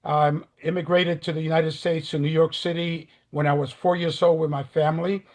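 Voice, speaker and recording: An older man from the Dominican Republic, giving a halting, earnest opening statement in a remote job interview over a video call. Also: a little high, level tone. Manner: earnest, halting with many fillers. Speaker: job candidate.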